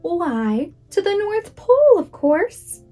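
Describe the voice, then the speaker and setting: A woman's voice: very high voice, very animated, slow pace, dull audio, soft background music, voice pushed up, full character voice. A woman voicing a commanding, inviting male train conductor in a storybook, in a declamatory manner. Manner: calling out, declamatory. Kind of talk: storybook read-aloud character.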